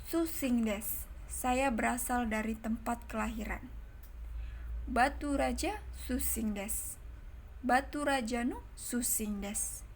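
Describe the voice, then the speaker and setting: A woman's voice: on the high side, a little hiss or hum, poor audio. Woman patiently teaching Japanese phrases. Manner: explains slowly and repeats example sentences, addresses viewers as friends. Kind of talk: online language lesson.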